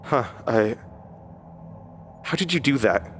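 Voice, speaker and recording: An actor playing a troubled, wary man demanding answers about his past, hesitant and halting, in a horror audio drama. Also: mid-range voice, animated, fairly bright tone, slight background noise. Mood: unsettled.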